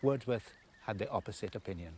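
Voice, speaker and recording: A man's voice: lowish voice, a little fast, darkish tone, a little hiss or hum. A man giving explanatory literary commentary as a presenter, discursive and emphatic, breaking phrases for weight. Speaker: documentary presenter, literary lecturer.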